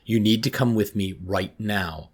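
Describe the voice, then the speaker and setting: A man's voice: lowish voice, a little slow, husky. Man reading as a concerned woman school counselor. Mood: urgent.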